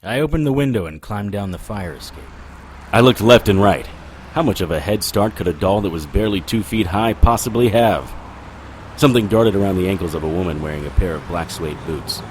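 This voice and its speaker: Low-voiced, sardonic investigator. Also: male voice, animated, a little fast, a little mellow, slight background noise. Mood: wry, hurried. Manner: wry, sarcastic, grumbling. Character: gruff, sardonic male occult investigator hero. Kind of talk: audio drama acting with noir first-person narration.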